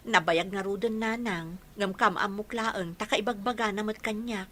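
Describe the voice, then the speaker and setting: A kind, dutiful young woman played by an actress in a village radio drama. She talks patiently at a medium pitch, with faint noise on the recording. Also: steady tone, normal speed, sharp tone, close to an everyday voice. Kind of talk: Ilocano radio drama dialogue.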